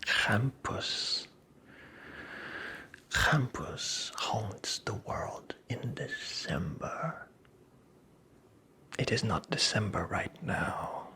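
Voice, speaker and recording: A performer voicing a creepy, vain little male imp in a whispered ASMR fairy-tale roleplay, teasing and condescending with drawn-out phrasing. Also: mid-range voice, big pitch swings, bright, forceful tone, full character voice. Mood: taunting, vain. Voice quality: breathy whisper.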